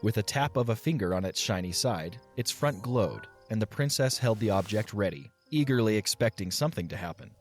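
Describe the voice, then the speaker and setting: Guy narrating an audio play, kinda low voice, measured storybook delivery, faint music behind him. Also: lively intonation.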